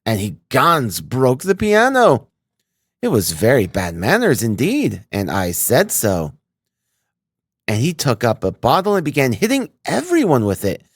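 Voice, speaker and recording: A man's voice: medium pitch, huge pitch swings, a little slow, slightly rough, dry recording, clean studio sound, pitched above the performer's own voice, exaggerated voice. A man voicing a fawning, indignant landlady with a German accent who patters on. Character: fawning middle-aged German landlady, chattering.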